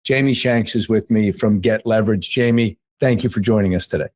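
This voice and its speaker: A man's voice: lowish voice, a little fast, a little mellow. A man speaking conversationally as the host of an interview podcast.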